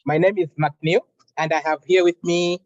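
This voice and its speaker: Chatty higher-voiced man. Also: moderate pace, no echo, highs cut off. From Malawi. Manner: relaxed, chatty, with laughs. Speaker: workshop facilitator. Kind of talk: friendly classroom introduction.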